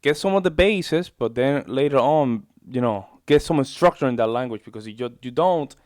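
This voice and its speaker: Brief: mid-pitched male reaction host, loose and chatty, dry booth-like sound. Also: animated, normal speed, a little mellow, no echo. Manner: chatty, rambling, repeats himself, informal "bro". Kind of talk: casual reaction commentary.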